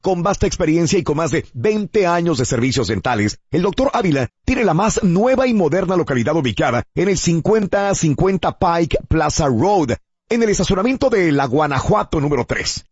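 Male ad announcer, husky and animated, persuasive radio read in a dry, dull booth sound. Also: no echo. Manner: warm, persuasive pitch with slow repeated phone numbers.

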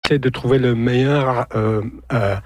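A man's voice: mid-range voice, fairly even intonation, a little slow, no room sound, studio quality. A man from Algeria, a radio journalist, speaking as a guest in a radio interview and hesitating with many fillers and repeated words.

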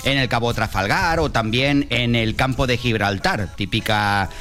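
A male radio meteorologist with an accent from Spain delivers the forecast in a conversational style. His voice sits at a middle pitch, with faint music behind it. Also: measured pace, a bit bright. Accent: Spanish from Spain.

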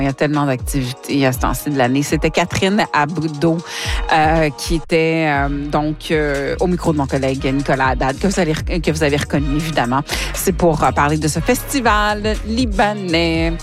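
Female radio host, lowish voice, brisk and chatty, soft music bed. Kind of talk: live radio hosting. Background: faint station jingle music.

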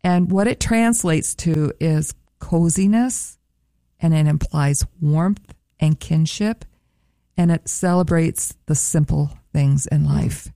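A woman with a low, mellow voice that has a gravelly edge talks up a museum's events and prices in a friendly, promotional way. The sound is dry and close, with no room echo. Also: takes it slowly. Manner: upbeat, promotional, careful over a foreign word. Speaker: museum staff guest. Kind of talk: radio interview chat.

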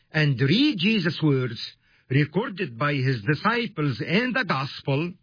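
A man preaches on the radio in a slightly raised, husky voice that swoops up and down with feeling. He takes his time, stressing words earnestly, and the sound is dry and a little dull, like a lo-fi broadcast. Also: fairly high pitch, no echo. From Egypt. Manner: slow, emphatic, earnest preaching. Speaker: radio ministry host.

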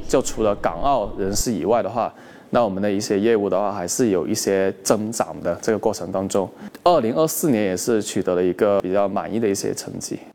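A man property agent answering interview questions in a measured, businesslike way. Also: on the low side, darkish tone.

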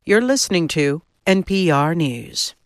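A woman newscaster reading a live hourly newscast in a measured, even delivery. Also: fairly low pitch, no echo, studio quality.

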